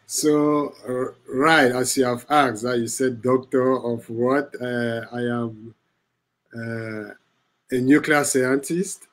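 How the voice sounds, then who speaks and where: A man from Cameroon, a guest on a video call, speaking slowly with many fillers and light laughs through a headset. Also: medium pitch. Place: home room, indoors.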